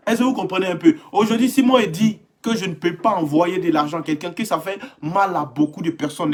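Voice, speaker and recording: Impassioned man streaming live. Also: high-pitched. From West Africa. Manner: greets viewers by name, grows emphatic and impassioned. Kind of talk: casual live stream monologue. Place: home indoors.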